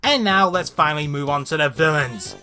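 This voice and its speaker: A woman's voice: deep voice, expressive, fairly quick, bright, forceful tone, soft background music. A woman hosting a scripted comedic review, blunt and sweary, with an exasperated, ranting manner. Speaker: host of a cartoon review show.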